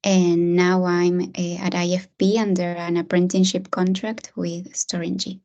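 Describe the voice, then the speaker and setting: A woman's voice: lowish voice, monotone, normal speed, a little mellow, muffled recording. A woman from Argentina, a petroleum engineering student, introducing herself on a video call. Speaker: student panelist.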